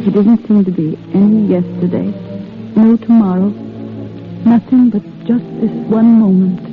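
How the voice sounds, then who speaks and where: An actress playing a guarded, wistful young wartime nurse falling in love, in 1930s radio drama style. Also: female voice, medium pitch, fairly even intonation, unhurried, lightly acted. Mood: tender. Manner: hesitant, wistful, earnest. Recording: muffled tinny old radio recording, faint music.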